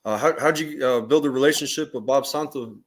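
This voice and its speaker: Casual man hosting, mid-pitched voice. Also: a little fast. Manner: slangy, informal fan-like address. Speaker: boxing show host. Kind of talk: casual interview chat.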